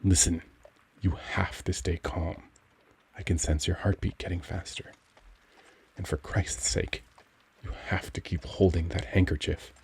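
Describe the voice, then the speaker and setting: Man acting a deep, gravelly, cultured vampire. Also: darkish tone, slight background noise. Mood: apologetic, reassuring. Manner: formal, courtly, tender, brooding. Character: gentle, cultured young male vampire, anguished, protective, struggling for control. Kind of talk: intimate ASMR roleplay monologue.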